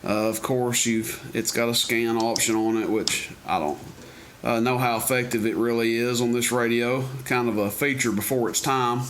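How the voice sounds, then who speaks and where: A man's voice: mid-range voice, steady tone, moderate pace, fairly bright tone. Man casually demonstrating gear, noisy recording. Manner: folksy, rambling, unscripted. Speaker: presenter demonstrating and selling CB radios. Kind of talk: casual product demo. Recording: noisy amateur camera recording, clicks.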